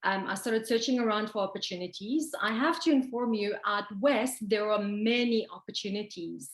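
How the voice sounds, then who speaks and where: A woman's voice: mid-range voice, moderate pace, reverberant. A South African woman from Pretoria, in her thirties, talking as an interview guest in a confident, upbeat way. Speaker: expat guest. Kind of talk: casual interview chat.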